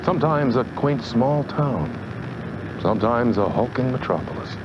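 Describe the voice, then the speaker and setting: A man playing the mysterious host of an eerie television anthology, introducing it in a deliberate, theatrical, ominous way. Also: expressive, lightly acted. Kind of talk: dramatic TV show introduction. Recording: dull sound buried in loud rumbling noise.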